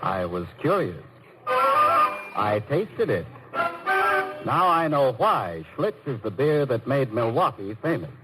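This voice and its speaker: A male announcer in an old radio commercial, middle-pitched with very dramatic swings of intonation. Loud music plays in the vintage broadcast. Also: huge pitch swings, slow, pauses often, low-quality recording.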